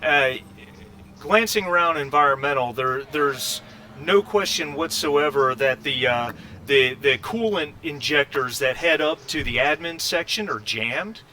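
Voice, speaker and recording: A man narrating casually as game master, improvising with halting pauses. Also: on the high side, pushed and bright, slight background noise.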